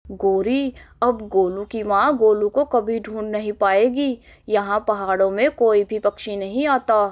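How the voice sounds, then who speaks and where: Cartoon male crow, scheming and smug, lowered voice, unhurried; performed by a woman. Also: close to an everyday voice. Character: scheming male crow, child thief and husband. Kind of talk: animated moral story dialogue.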